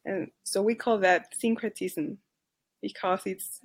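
A woman's voice: medium pitch, steady tone, unhurried, husky. A woman with a Mexican accent, a podcast guest earnestly telling a case story and often stopping to correct herself. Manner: earnest, careful, with restarts and self-corrections. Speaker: charity advocacy worker.

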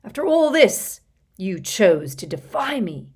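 A woman voicing a menacing, pompous male villain who talks in a loud, drawn-out sneer. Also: medium pitch, sing-song, breathy edge, heavily acted. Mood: accusing.

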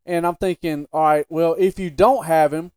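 A man's voice: on the high side, steady tone, normal speed, darkish tone, clean tone, dry recording, clean studio sound. A man co-hosting a sports podcast, debating casually in a blunt, opinionated and emphatic way.

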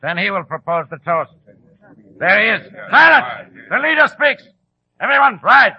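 A stern conspirator's henchman in an old radio play. His husky, high voice is curt and commanding, heard through a dull lo-fi recording. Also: very animated, normal speed, exaggerated voice. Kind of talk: 1940s radio acting.

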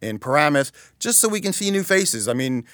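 A man's voice: a little high, sing-song, brisk, a bit bright, no room sound, clean studio sound. A man speaking as a chamber of commerce president in a conversational interview, explaining things earnestly.

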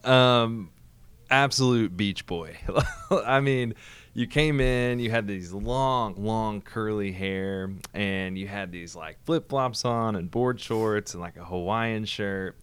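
A man's voice: on the low side, slow, a bit bright, clean tone. A young man from Oklahoma, a church hospitality pastor, talking in an easygoing, friendly way and chuckling often in a casual interview.

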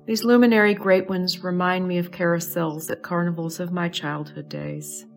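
Low-pitched woman guiding a meditation over music. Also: steady tone, gravelly. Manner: soothing, measured, pausing between short phrases. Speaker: astrology advisor leading a guided meditation. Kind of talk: slow guided meditation narration.

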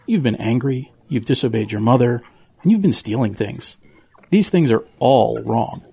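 Gravelly creak, medium pitch, earnest preachy farm pig acted by a man, admonishing, children's audio drama. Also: moderate pace, dark tone, natural voice. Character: earnest, preachy male pig with a fussy nervous streak.

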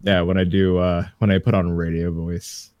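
A man guest on a podcast, talking casually in a smooth voice with many fillers. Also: sits low, level tone, moderate pace, dull audio. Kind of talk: casual chat.

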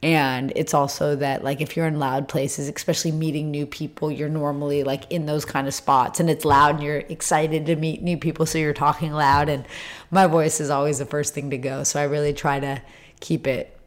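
Raspy woman, deep voice, loose rambling talk, scarred throat. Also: little pitch movement, moderate pace. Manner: rambling, lots of fillers. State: throat scar tissue, tires easily. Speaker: interview guest, retreat leader. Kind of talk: casual conversation.

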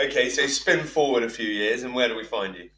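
A man's voice: mid-range voice, a little fast, sharp tone. A man hosting a podcast, chatty and friendly, laughing easily as he asks questions. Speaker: podcast host. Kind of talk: casual interview chat. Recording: roomy, distant mic with faint hum.

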